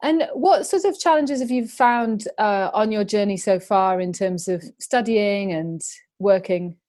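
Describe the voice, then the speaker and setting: A woman's voice: mid-range voice, a little slow, a little mellow. Woman hosting a conversational interview. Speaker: podcast interviewer and host. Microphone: video call.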